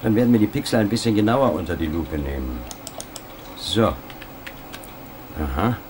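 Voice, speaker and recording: An actor playing a precise professor of digital image manipulation, speaking in a lecturing, matter-of-fact way. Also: male voice, on the low side, expressive, moderate pace, a little mellow, slight background noise, close to an everyday voice.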